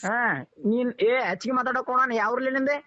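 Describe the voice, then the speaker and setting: A man's voice: very high voice, steady tone, fast, background noise. A man with a North Karnataka Kannada accent arguing on a phone call, irritated and confrontational, swearing freely. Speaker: prank call recipient. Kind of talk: heated phone argument. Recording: dull lo-fi phone line.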